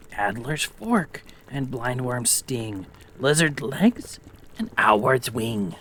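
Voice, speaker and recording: A man playing an eerie witch who chants spells in a slow singsong, in a dramatic Shakespeare reading. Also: medium pitch, fairly bright tone, a little hiss or hum, heavily acted.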